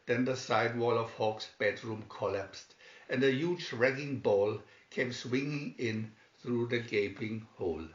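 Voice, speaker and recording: A man reading a storybook aloud in a deliberate, dramatic narrating style. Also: medium pitch. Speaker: storybook reader. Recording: dull, echoey room recording.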